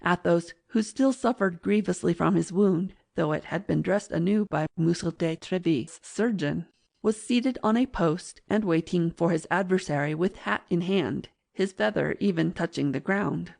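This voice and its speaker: Low-pitched, creaky-voiced woman narrator. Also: normal speed, darkish tone. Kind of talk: scripted audiobook narration of classic fiction.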